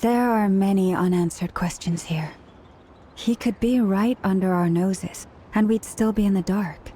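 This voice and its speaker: Woman playing a mellow, composed guardian. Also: medium pitch, soft background music, close to an everyday voice. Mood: serious. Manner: measured, serious. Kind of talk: video game voice acting.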